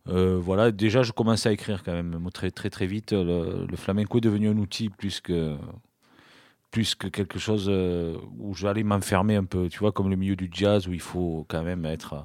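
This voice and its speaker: A man's voice: sits low, steady tone, moderate pace, a little mellow, no echo. A man around forty, a singer-songwriter guest in a small studio, talking casually and hesitantly with many restarts. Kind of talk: casual interview talk.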